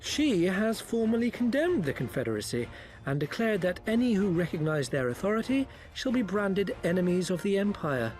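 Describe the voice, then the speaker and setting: A man in a game cutscene giving a formal, measured briefing. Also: high-pitched, very animated, darkish tone, poor audio. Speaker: informant briefing the heroes. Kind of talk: video game cutscene acting.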